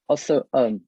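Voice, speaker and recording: A man's voice: warm tone, no room sound. A teenage boy introducing himself on a video call, hesitant and stumbling over his words. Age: teens. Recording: dull, dry video call audio.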